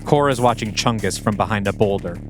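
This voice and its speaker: A man's voice: on the low side, fairly quick, music in the background. A man hosting a podcast, reading scripted scene directions as the narrator of an audio play.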